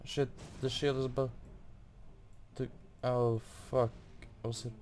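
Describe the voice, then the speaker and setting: Slow, dark-voiced hesitant gamer guy. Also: mid-range voice, level tone, a little hiss or hum. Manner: hesitant, thinking aloud, swears freely. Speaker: gaming video maker playing through a quest. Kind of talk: casual game commentary. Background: game music.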